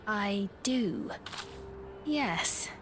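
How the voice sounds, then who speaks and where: A woman's voice: medium pitch, expressive. A stately queen, music behind. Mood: gentle, guarded. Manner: measured, formal, stately. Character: gracious, composed queen, calm and formal. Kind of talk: scripted video game cutscene acting. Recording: orchestral game music behind.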